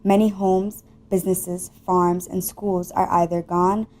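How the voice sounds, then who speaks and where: A woman's voice: on the low side, level tone, unhurried, a little mellow. A young adult woman delivering scripted news narration as a student television reporter.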